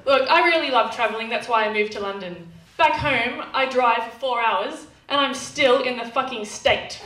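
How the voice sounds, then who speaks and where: A woman's voice: fairly high pitch, normal speed, crowd noise. A young Australian woman with a nasal twang doing brash, sweary stand-up comedy. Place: comedy club stage. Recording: echoey club room, distant, noisy.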